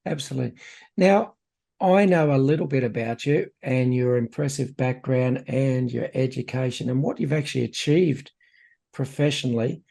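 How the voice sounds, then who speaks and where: A man's voice: mid-range voice, normal speed, creaky, mellow. A man hosting a conversational interview, speaking in an effusive, encouraging manner. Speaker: podcast host, interviewer. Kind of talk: casual interview chat.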